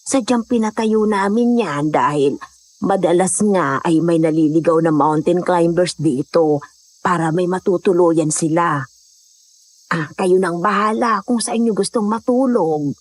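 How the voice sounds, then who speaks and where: Woman playing low-voiced, hospitable older mountain woman. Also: no echo. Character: hospitable older mountain woman, motherly, faintly eerie storyteller. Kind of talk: Tagalog horror audio drama acting.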